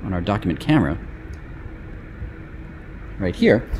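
A middle-aged man hosting an interview as a librarian, prompting his guest in a brisk, friendly way, recorded by a distant camera in a library reading room. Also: fairly low pitch, wildly swooping pitch, gravelly, darkish tone, husky. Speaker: librarian, host. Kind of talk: conversational interview.

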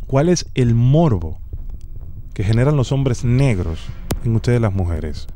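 A young Dominican man hosting a prank call, speaking in a deliberate, formal, official-sounding way into a close studio microphone. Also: medium pitch, expressive, mellow, faint music behind. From Dominican Republic. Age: young adult. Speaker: prank call show host. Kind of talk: phone prank, posing as an official. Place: small home studio. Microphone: close studio microphone on boom arm.

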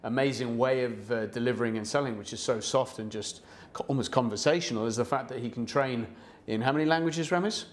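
A man's voice: medium pitch, fairly quick. A middle-aged man hosting a business interview show in an upbeat, friendly manner, on a lapel mic in a studio. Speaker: host. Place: studio set with orange backdrop.

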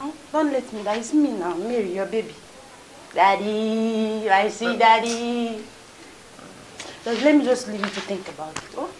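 An actress playing a spoilt, wheedling grown daughter in a Nigerian comedy film, with a Nigerian accent. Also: female voice, a little high, a little slow, lightly acted. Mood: coaxing. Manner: gushing, coaxing. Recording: noisy film location sound.

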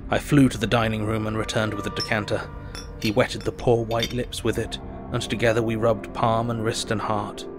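Low-voiced, solemn doctor played by a man. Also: flat delivery, over music, lightly acted. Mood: tense, measured. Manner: measured, literary, solemn. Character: earnest, anxious male asylum doctor reading his diary. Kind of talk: first-person dramatic audio narration.

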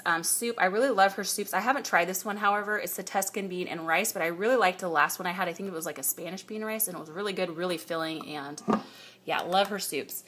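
A woman's voice: medium pitch, fairly bright tone. Chatty woman vlogging a grocery haul. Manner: chatty, reads prices aloud with fillers. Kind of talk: casual grocery haul chat. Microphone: phone camera held close.

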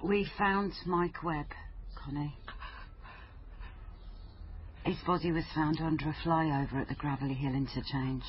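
An actress playing a careful, guarded British police liaison officer in a radio drama, answering hesitantly and briefly. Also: female voice, low voice, fairly even intonation, moderate pace, close to an everyday voice. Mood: gentle, careful. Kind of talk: naturalistic radio drama acting. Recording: dull recording, faint background noise.

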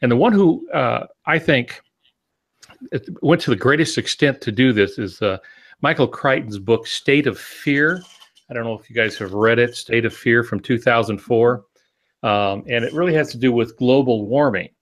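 A man in his sixties explaining things conversationally as a podcast co-host, in a measured, halting way with pauses mid-phrase. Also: mid-range voice. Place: home office, indoors. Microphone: video call with earphones.

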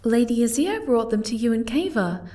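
A woman voicing a gentle, timid, caring young moth girl in an ASMR roleplay, soft-spoken and hesitant. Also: fairly high pitch, expressive, moderate pace, lightly acted. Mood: warm, curious.

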